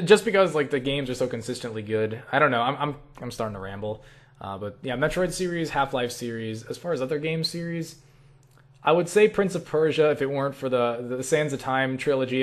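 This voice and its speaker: A young man chatting casually and thinking aloud as he answers viewer questions, rambling and opinionated, with frequent swearing. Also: medium pitch. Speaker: online video creator answering viewer questions. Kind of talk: casual rambling Q&A chat about video games.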